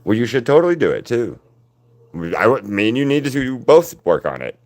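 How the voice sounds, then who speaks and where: A man with a deep voice, chatting casually with viewers while he paints on a livestream. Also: very animated, moderate pace, darkish tone. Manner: rambling, answers viewers' chat by name. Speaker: artist hosting a livestream.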